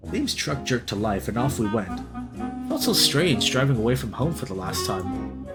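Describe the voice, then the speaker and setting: A man reading a visual novel aloud as a let's play host, in an expressive, dramatic storytelling style with casual reactions. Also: medium pitch, normal speed, over loud music. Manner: animated, dramatic reader.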